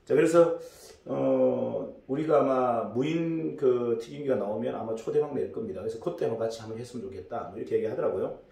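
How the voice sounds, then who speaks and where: A hoarse middle-aged man giving a casual business lecture as a story, rambling with many fillers and restarts. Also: medium pitch, brisk, hardly any pauses, mellow. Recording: echoey room, distant mic.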